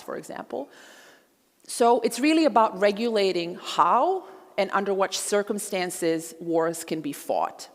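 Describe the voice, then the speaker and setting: A middle-aged woman from Croatia giving a measured public lecture, with frequent ums and uhs. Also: low-pitched, vocal fry. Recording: long hall reverb.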